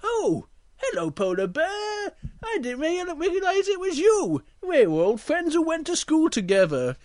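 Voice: very high-pitched, wildly swooping pitch, a little mellow, no room sound, clean studio sound, voice pushed up, exaggerated voice. A storyteller voicing a big, protective, cautious male bear who warns and scolds emphatically in a children's story. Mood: friendly. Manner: emphatic, slow, admonishing.

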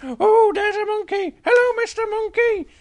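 A naive little bear cub, put on by an adult storyteller, speaking in a high, childlike voice. The cub is eager and wide-eyed, tends to repeat itself, and belongs in a homemade bedtime story. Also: moderate pace, a little hiss or hum. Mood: excited, eager.

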